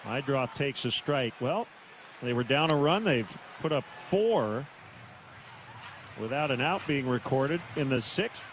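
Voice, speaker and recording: A man calling live baseball on the radio in clipped, measured phrases with pauses between plays. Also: mid-range voice, animated. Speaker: baseball play-by-play announcer. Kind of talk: live radio play-by-play commentary. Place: stadium broadcast booth. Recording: noisy stadium radio broadcast.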